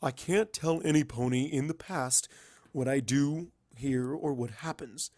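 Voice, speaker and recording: A man's voice: medium pitch, a little slow, darkish tone, no room sound, natural voice. A man voicing an arrogant, irritable male pony wizard with a blunt, bossy manner in a story reading. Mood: guarded.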